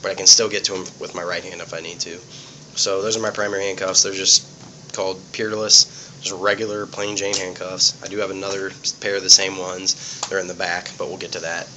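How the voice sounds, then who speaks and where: A man's voice: low voice, pushed and bright, slight background noise, rough recording. A man giving a casual, plainspoken walkthrough of his police gear, explaining things simply and sounding sniffly from a cold. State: sniffly, getting over a cold.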